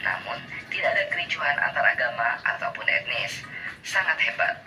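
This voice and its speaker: A man's voice: a little high, brisk. A man narrating a first-person travel story in a formal, evenly read style. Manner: even, formal read-aloud delivery. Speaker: narrator of a played video. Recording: distant voice with music behind.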